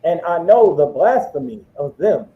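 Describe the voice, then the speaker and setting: A man's voice: on the high side, sing-song, normal speed. A man assisting a preacher as scripture reader, reading verses aloud and answering him. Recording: tinny phone-like line with roomy echo.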